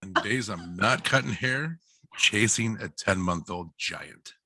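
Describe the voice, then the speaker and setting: A man's voice: fairly low pitch, lively intonation, takes it slowly, sharp tone, slightly rough, no room sound. A man in a casual chat, speaking as a guest with a joking, exaggerating manner. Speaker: guest author and hairdresser.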